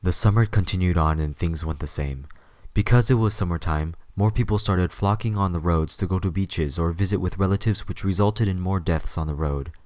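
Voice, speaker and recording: A man's voice: deep voice, fairly quick, hardly any pauses, darkish tone, no room sound. A man reading scripted story narration as the narrator of an audio drama.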